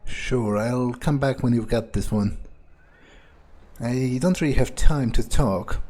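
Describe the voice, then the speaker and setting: A man's voice: medium pitch, slow pace, darkish tone. A man voicing a scheming, condescending vampire in an improvised game playthrough, thinking aloud with hesitations. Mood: dismissive, calculating. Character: scheming, condescending male vampire, reluctant servant of a prince.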